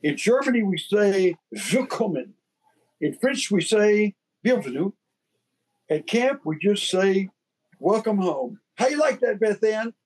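A man's voice: sits high, expressive, a little slow, a little mellow, breathy edge, low-quality recording. A man from Texas with a Texan accent, co-hosting a podcast in a folksy, enthusiastic way. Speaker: podcast co-host.

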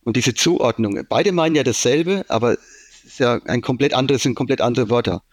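A man's voice: medium pitch, moderate pace, no echo. A man from Franconia with a Franconian German accent, an IT manager being interviewed, explaining things at length with many fillers. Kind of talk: casual business interview.

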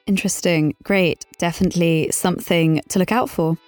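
A woman's voice: fairly low pitch, steady tone, normal speed, darkish tone, no room sound, soft background music. A woman hosting a business podcast, speaking in a polite, articulate and formal interviewing manner.

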